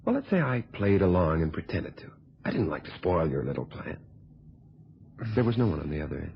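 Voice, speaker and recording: An actor playing a cool, calculating blackmailing reporter in a radio thriller, with a husky voice and a smug, sardonic way of telling things. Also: male voice, deep voice, very animated, slight background noise, exaggerated voice. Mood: callous, amused. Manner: smug, sardonic, unhurried storytelling. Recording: dull, lo-fi old radio recording.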